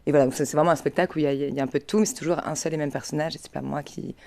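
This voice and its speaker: A woman's voice: low-pitched, fairly even intonation, racing, gravelly, darkish tone. A young woman comedian, speaking casually as an interview guest, often hesitating and repeating words as she corrects herself. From Paris region, France. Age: young adult. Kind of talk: casual spontaneous interview talk.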